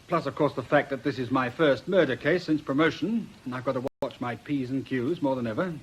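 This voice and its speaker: An actor playing a confident, newly promoted police inspector in a radio drama, colloquial and brisk, with a British accent. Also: male voice, medium pitch, slightly rough, natural voice, poor audio. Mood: frank, confident. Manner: brisk, colloquial, self-assured.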